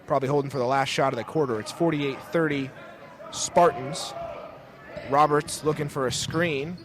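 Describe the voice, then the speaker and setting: A young American man calling high school basketball as a live radio play-by-play announcer. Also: moderate pace, a crowd in the background. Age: young adult. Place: indoor high school gym, courtside. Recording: noisy gym ambience. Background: bouncing basketballs, gym noise.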